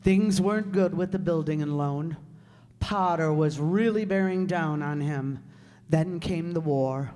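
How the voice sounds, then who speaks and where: An actor playing a patient senior angel in a radio play, narrating in a measured storytelling manner. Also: male voice, fairly high pitch, darkish tone, close to an everyday voice. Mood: brisk, recounting. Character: senior heavenly angel, patient storyteller briefing his underling. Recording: echoey hall, long reverb.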